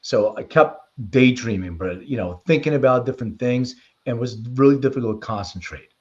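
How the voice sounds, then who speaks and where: A man's voice: medium pitch, moderate pace, a little mellow. A man in a slightly muffled, lo-fi recording speaks with a mid-range voice as an interview guest. He explains things informally and leans on anecdote, in an easy conversational style. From born in Lithuania, raised in Philadelphia from age six. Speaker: interview guest, precision medicine company leader. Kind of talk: conversational interview answers and personal storytelling.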